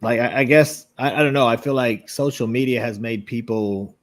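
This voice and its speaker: A man's voice: medium pitch, steady tone, normal speed. A man co-hosting a podcast in casual chat, blunt and profane, often repeating words as he starts sentences. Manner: stammering restarts, blunt and swearing. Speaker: podcast co-host.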